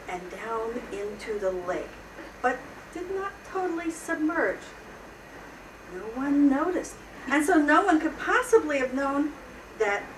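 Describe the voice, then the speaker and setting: A woman storyteller with a lively, swooping delivery, reading to children at an unhurried pace with theatrical pauses. She is picked up from a distance in a roomy, noisy recording. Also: on the high side. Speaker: storybook reader.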